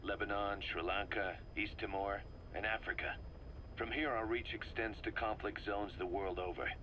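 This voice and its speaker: A deep, hard-bitten mercenary commander. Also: male voice, steady tone, normal speed, pushed and bright, slight background noise. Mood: resolute. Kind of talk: dramatic video game acting, expository briefing.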